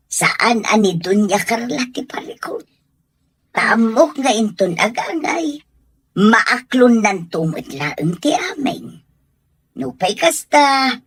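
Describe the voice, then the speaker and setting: A woman playing a caring, encouraging older aunt in an Ilocano radio drama, husky, with an Ilocano accent. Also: on the high side, exaggerated voice.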